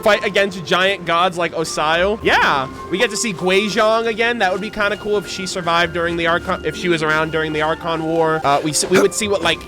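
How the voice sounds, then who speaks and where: A man giving casual game commentary as a streamer, talking in an enthusiastic, slangy, rambling way. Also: on the high side. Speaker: gaming streamer.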